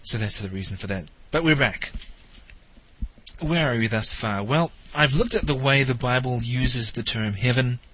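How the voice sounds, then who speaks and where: A man's voice: mid-range voice, moderate pace, pushed and bright, poor audio. A man hosting a talk show, sounding nasal and stuffed up from hay fever, with a wry, questioning manner as he comments on theology. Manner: wry, questioning, lightly teasing. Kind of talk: spoken theology commentary monologue.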